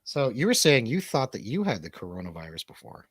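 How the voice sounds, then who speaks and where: A man's voice: mid-range voice, huge pitch swings, a little fast, fairly bright tone, slightly rough, dry recording, studio quality. A man hosting a show in casual, bantering chat, rambling from one thought to the next. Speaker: radio show host and DJ.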